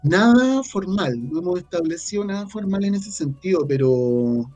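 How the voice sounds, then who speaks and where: A Chilean man speaking casually as an interview guest, halting and repeating words as he searches for his phrasing. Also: high-pitched, expressive, a little mellow, faint music behind. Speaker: interview guest, audio drama writer.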